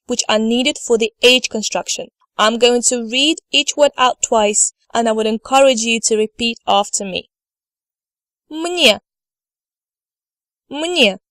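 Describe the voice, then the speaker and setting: A woman teaching a language lesson over slides, speaking slowly and carefully and modelling words for learners to repeat. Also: fairly high pitch, a bit bright, no room sound, clean studio sound. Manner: slow, careful delivery, models words for repetition. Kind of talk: slide lecture.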